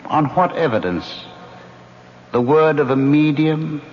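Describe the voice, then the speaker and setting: An actor playing a cool, scheming man who talks in a smooth, mocking and condescending way, in a 1970s radio drama. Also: on the high side, animated, slow pace. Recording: dull lo-fi old radio recording, faint hiss.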